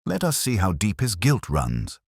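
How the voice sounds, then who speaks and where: A man playing an austere, commanding detective in an audiobook story, speaking in measured, clipped pronouncements. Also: on the low side, huge pitch swings, creaky, slightly rough, dry recording, studio quality, voice pushed up, full character voice. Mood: quiet, intent.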